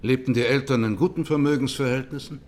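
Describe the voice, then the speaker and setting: An actor playing a methodical male judge who questions in a formal, measured way in a courtroom radio drama. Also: medium pitch, fairly even intonation, a little mellow, natural voice. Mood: formal, neutral.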